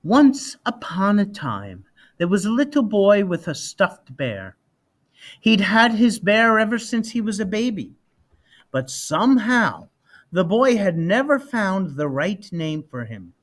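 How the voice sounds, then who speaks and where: Slow, deliberate man reading a picture book. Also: high voice, sing-song. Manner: deliberate, dramatic emphasis on key words. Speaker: storybook reader. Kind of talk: expressive children's picture book read-aloud.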